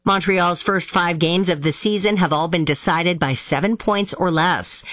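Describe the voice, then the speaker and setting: Woman reading crisp radio sports news. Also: on the low side, moderate pace, fairly bright tone, dry recording. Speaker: news reader.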